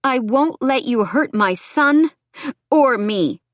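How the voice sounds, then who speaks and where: A firm, defiant young mother, voiced by a woman narrator standing up to a threat in an audiobook drama. Her voice rises high over a dry, close recording. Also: lively intonation, moderate pace, no room sound, lightly acted.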